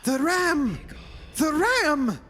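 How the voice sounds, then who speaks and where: A man's voice: very high voice, big pitch swings, very slow, long reverb tail, very noisy, heavily acted. An actor playing an alarmed male companion in an old-time style radio horror drama. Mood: urgent, alarmed.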